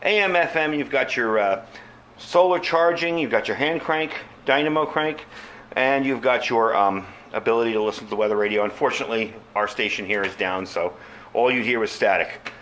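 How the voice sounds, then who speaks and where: A man's voice: medium pitch, normal speed, a bit bright. Casual man reviewing gear, noisy background. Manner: informal, conversational, addresses viewers as folks. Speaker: product reviewer, channel host. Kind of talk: casual unscripted product review.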